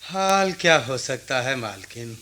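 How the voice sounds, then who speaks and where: Sharp-voiced humble village oil-seller. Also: male voice, mid-range voice, lively intonation, slow pace. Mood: humble, obliging. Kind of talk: Hindi radio play acting.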